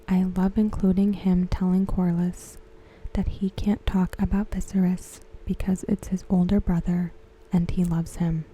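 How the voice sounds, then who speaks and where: A woman speaks in a very soft, mellow ASMR hush, giving her commentary gently. Her voice is breathy and whispery, with a slow, unhurried delivery. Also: fairly low pitch, flat delivery, low-quality recording. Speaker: ASMR content creator reviewing a TV episode.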